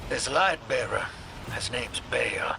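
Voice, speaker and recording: An actor voicing a roguish, weathered smuggler with an informal drawl in a video game. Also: male voice, mid-range voice, animated, slow pace, creaky, heavily acted. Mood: grim, wry. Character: roguish, weathered male smuggler speaking over radio. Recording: heavy vehicle and ambient noise.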